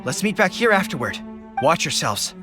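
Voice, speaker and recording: A man's voice: a little high, big pitch swings, moderate pace. A man voicing an earnest young resistance general giving tactical orders in a video game. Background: game music.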